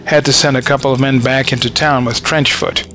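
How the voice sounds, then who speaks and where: An actor playing a matter-of-fact American army sergeant in a war audio drama, easygoing and practical. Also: male voice, medium pitch, fairly even intonation, a little fast, over music, lightly acted.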